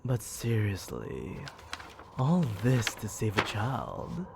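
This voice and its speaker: A man voicing a menacing, teasing demon lord in an ASMR roleplay, breathy and often dropping to a whisper, condescending and coaxing toward a captive. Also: fairly low pitch, huge pitch swings, heavy background noise, heavily acted. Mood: scornful. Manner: slow, taunting, condescending, coaxing.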